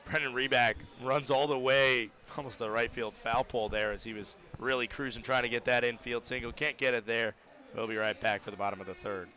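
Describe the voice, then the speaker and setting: Bright-voiced young baseball radio announcer. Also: male voice, mid-range voice, fairly quick. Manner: steady, builds up on hits. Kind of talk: live baseball play-by-play commentary. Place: ballpark broadcast booth.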